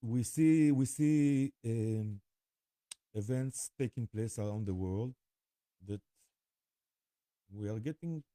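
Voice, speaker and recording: A man with an Israeli accent talking informally to camera, halting often as he pauses and repeats himself. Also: mid-range voice, warm tone, dry recording. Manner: halting, with frequent pauses and repetitions. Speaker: spiritual vlogger. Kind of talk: rambling informal talk to camera.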